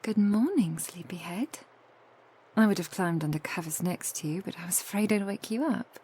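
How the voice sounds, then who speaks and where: A woman voicing a protective, tender vampire girlfriend in an ASMR roleplay, soft, intimate and gently teasing. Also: medium pitch, moderate pace, slightly rough.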